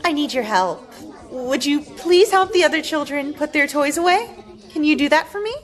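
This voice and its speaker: A woman acting the part of a kind, encouraging kindergarten teacher, speaking gently to small children at a film table read. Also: high-pitched, lively intonation, moderate pace. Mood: warm, coaxing.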